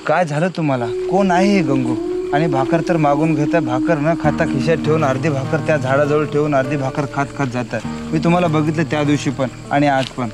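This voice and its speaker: A man's voice: a little high, brisk, natural voice. A man playing a caring young villager. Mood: soothing, concerned. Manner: urgent questioning, soothing. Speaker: villager in a short drama. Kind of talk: drama dialogue. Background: loud music.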